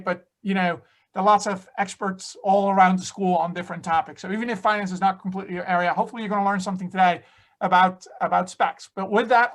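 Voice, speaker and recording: A man's voice: sits high, fairly bright tone. A man, a finance professor, hosting an online information session in a conversational way. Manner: conversational, fillers and restarts.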